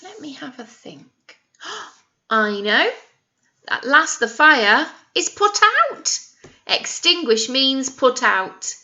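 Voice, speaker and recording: Female nursery teacher, storytime read-aloud. Slow, very bright delivery, teacherly and expressive, on a slightly dull lo-fi recording. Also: high voice. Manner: expressive teacherly, addressing children with questions and emphasised key words. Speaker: nursery teacher reading to children. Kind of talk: picture-book read-aloud with simple explanations for young children.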